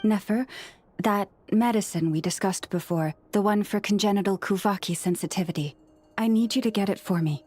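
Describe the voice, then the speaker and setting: A woman voicing a gentle young priestess in a video game, soft-spoken, earnest, measured and formal. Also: mid-range voice, lightly acted. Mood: urgent. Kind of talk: English video game voice acting.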